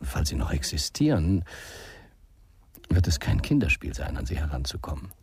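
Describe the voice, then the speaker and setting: An actor playing a formal, sceptical state lawyer who questions probingly in a German radio crime drama. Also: male voice, very deep voice, sing-song, normal speed, darkish tone, breathy edge, no room sound, exaggerated voice.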